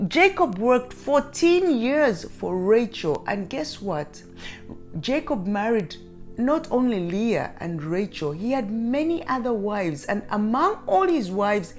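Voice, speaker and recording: Female advice coach, middle-aged, very unhurried and instructive, emphatic on key points, music playing behind. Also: a little high. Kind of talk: direct-to-audience advice talk.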